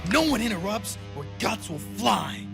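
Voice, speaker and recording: An actor playing a sadistic teenage boy bully in a horror audio drama, with a raspy, menacing, drawn-out taunting delivery. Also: medium pitch, big pitch swings, music in the background. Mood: mocking, threatening.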